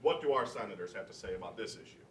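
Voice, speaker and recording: A man's voice: on the low side, lively intonation, racing. A young man reading scripted narration aloud as a student presenter, stumbling now and then. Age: young adult. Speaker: student presenter and narrator at a readers theater event. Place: room at a college library event. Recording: echoey, distant mic with faint noise.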